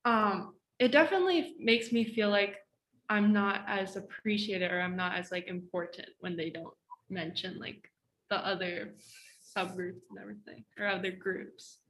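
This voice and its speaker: A woman's voice: mid-range voice, moderate pace, a bit bright, low-quality recording. Hesitant teenage girl, faint background hum. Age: teens. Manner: hesitant, searching for words. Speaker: student. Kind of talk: casual conversation.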